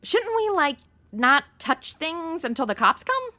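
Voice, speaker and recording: Ditzy modern gal, audio comedy: high, bright, very animated, blunt and slangy. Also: female voice, measured pace, heavily acted. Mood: sassy, clueless. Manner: blunt, slangy, valley-girl phrasing. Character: brash, ditzy modern young woman stranded in 1940s mysteries. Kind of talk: comedic audio drama acting.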